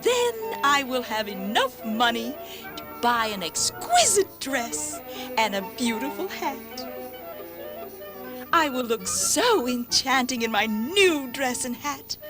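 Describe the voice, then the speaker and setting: A vain, dreamy young milkmaid from a cartoon fable. Her voice is high and swoops widely, with slow, melodramatic phrasing. Faint music plays behind her. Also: heavily acted. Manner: theatrical, drawn-out delivery. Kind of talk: children's cartoon fable acting.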